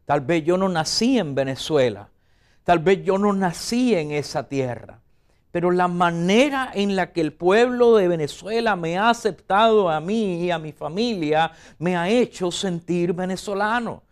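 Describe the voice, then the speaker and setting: Male pastor, fervent sermon delivery, high voice, talks right to the congregation. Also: animated, unhurried, smooth. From Puerto Rico. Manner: fervent preaching, addresses listeners directly.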